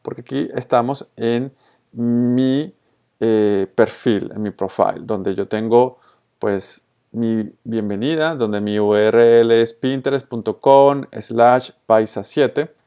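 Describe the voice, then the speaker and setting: A man's voice: medium pitch, slow, warm tone, clean tone. A man from Colombia and Venezuela, with an accent from both, narrating a casual screencast tutorial in an informal way, mixing in English terms. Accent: Colombian-Venezuelan Spanish. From born in Colombia, raised in Venezuela. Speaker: social media tutorial presenter.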